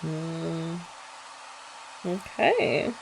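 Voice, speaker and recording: A woman's voice: low voice, expressive, extremely slow, gravelly, background noise. A young woman chatting playfully and teasingly in a girlfriend roleplay ASMR. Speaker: ASMR creator.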